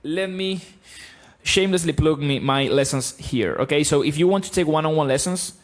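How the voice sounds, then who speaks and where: Guitar teacher, thirties, Argentine-accented male, blunt and lively, close mic in a small home room. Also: on the high side, normal speed. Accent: Argentine Spanish. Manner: blunt, animated, explaining. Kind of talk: live-streamed guitar lesson. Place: small room with bookshelves, indoors. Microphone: studio mic on stand, close.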